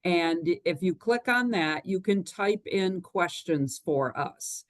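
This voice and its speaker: A woman's voice: on the low side, expressive, slow, no room sound, clean studio sound. A woman giving step-by-step housekeeping instructions at the start of an online presentation, in a friendly, practical way over a computer mic. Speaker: webinar co-host. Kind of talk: housekeeping announcements. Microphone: computer mic on a video call.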